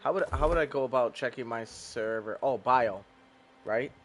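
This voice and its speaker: Male streamer, laid-back rambling commentary, casual swearing, mid-pitched voice. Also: moderate pace, fairly bright tone, slight background noise.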